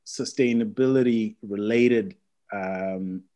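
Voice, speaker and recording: Male interview host, fairly low voice, conversational, tentative and halting phrasing. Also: warm tone.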